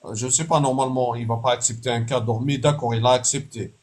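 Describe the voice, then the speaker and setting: A man's voice: medium pitch, steady tone, fast talker. A man debating in an online live stream, blunt and indignant, brushing off the other side's points. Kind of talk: heated casual debate.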